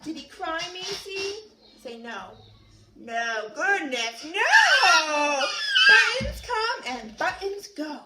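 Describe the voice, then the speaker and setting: A woman's voice: extremely high pitch, big pitch swings, slow pace, raised voice. A woman reading a picture book aloud to her young child in a sing-song, coaxing way, asking questions as she goes. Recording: roomy, noisy home recording.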